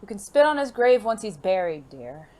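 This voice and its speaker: An actor playing a suave, genteel gentleman secret agent in an audio drama, with formal, refined phrasing and a playful, flirtatious, teasing manner. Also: medium pitch, sing-song, moderate pace, close to an everyday voice. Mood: composed, explaining.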